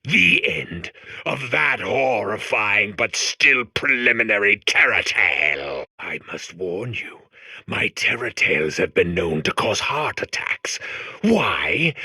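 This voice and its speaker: A man voicing a grandiose horror-storytelling machine in a video game, raspy, pompous and melodramatic. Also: medium pitch, big pitch swings, slow pace, no echo, exaggerated voice. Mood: mock-menacing. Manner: melodramatic, pompous, swinging from hush to shriek. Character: theatrical horror-storytelling machine core, grandiose and self-important. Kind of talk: comedic video game horror-host acting.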